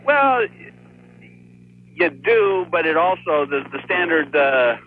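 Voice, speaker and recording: A man calling a radio show as a real estate agent, chatting casually and explaining things in an informal, halting, folksy way. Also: fairly high pitch, very animated. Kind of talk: casual phone call-in chat. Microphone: telephone. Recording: thin phone line, faint noise.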